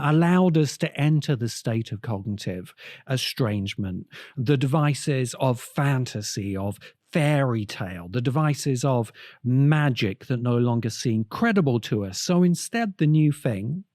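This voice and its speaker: A man with a soft-edged, mellow voice narrates a thoughtful essay in a slow, deliberate way. He leaves dramatic pauses inside his sentences. The sound is dry and close, with faint music underneath. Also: medium pitch, no echo. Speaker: podcast host, critic. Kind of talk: essay-like scripted commentary on science fiction.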